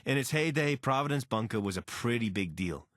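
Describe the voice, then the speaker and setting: An actor playing an anxious, wry deserter soldier and pilot in a video game, speaking in a conversational, earnest way. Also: male voice, mid-range voice, animated, fairly quick, a bit bright, dry recording, clean studio sound, natural voice. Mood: grave. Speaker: voice actor for a companion character. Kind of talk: video game character dialogue.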